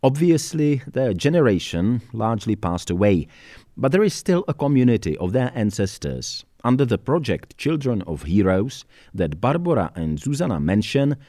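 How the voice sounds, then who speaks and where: A man's voice: mid-range voice, sing-song, normal speed, warm tone, no room sound, clean studio sound. A man narrating a scripted, explanatory note as the podcast host.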